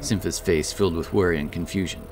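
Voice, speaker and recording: A man's voice: sits low, fast, faint music behind. A man narrating a fantasy audiobook as a scripted dramatic story, with intense, theatrical delivery.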